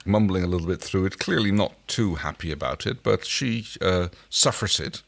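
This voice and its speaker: This is a man with a deep, low-pitched voice. He co-hosts a podcast and recaps an episode in relaxed conversational wording, pausing to search for words. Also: vocal fry. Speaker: podcast co-host. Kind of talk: casual episode recap chat.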